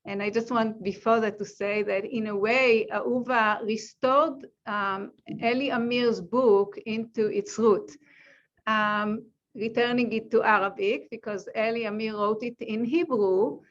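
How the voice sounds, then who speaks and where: A woman moderating an online panel over a video call, speaking in a measured way with frequent ums. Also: a little high, fairly even intonation. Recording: dull lo-fi call audio.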